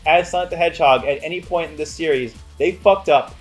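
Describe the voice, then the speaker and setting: A man's voice: a little high, fairly quick, faint music behind. A man co-hosting a casual movie review, emphatic and opinionated as he banters. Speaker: movie review show co-host.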